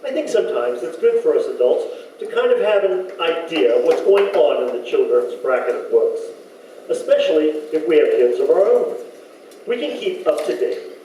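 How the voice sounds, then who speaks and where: A man playing a polite, patient radio interviewer in an old-time comedy sketch, with halting, hesitant patter. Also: fairly high pitch, sing-song, dark tone. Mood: genial. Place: community hall stage. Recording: echoey hall, distant mic, faint noise.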